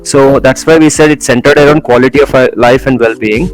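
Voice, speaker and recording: A young man from India talking through his own story in a casual interview, halting with many fillers and small laughs. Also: medium pitch, faint music behind, low-quality recording. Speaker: guest.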